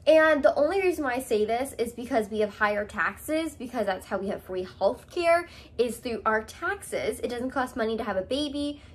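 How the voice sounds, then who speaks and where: A woman's voice: a little high, lively intonation, moderate pace. Chatty young Canadian woman vlogging. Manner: chatty, with many "um"s and "like"s. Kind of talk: casual chat to camera.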